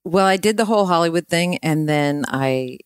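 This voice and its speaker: A woman chats as a guest on a podcast. She is candid and quick to joke, in an informal conversational style. The recording is dry and close. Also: on the low side, clean tone, dry recording. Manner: candid, joking. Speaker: podcast guest, singer-songwriter. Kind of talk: casual chat.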